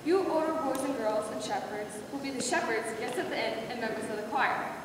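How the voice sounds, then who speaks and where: A woman playing a brisk, firm director of a children's Christmas pageant in an amateur readers theater skit, instructive and no-nonsense. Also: fairly high pitch, close to an everyday voice. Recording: distant, very echoey hall with background noise.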